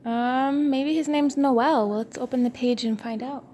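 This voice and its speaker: A woman with a fairly high voice reads a children's storybook aloud to a little one. She is encouraging, and the home recording has faint background noise. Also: fairly even intonation, moderate pace, dark tone. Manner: encouraging, asks the child questions. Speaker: mother reading to her young son.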